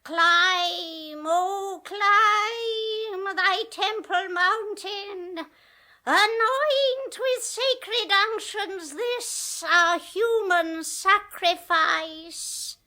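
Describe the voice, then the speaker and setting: A woman's voice: very high-pitched, very slow, bright, forceful tone, pitched above the performer's own voice, exaggerated voice. A woman in a radio drama voicing the possessed spirit of a grandiose male Inca emperor, chanting solemn prophecy. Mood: ominous. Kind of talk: 1980s radio drama acting.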